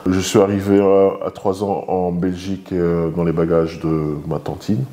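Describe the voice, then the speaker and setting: A man's voice: low-pitched, flat delivery, moderate pace, dark tone. A man in his forties from Congo, answering interview questions thoughtfully, with many hesitations and repeated words. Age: 40s. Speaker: guest, father of the host. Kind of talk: relaxed interview talk.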